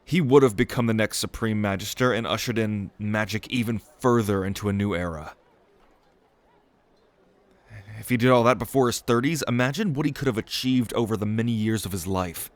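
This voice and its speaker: A man's voice: fairly low pitch, quick pace, few pauses, dry recording, natural voice. A man playing an eager, proud young noble mage prince in an audio roleplay, speaking in a theatrical, earnest way. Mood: wistful, ambitious. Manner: theatrical, earnest, grandly expository. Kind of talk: acted audio roleplay spoken to the listener.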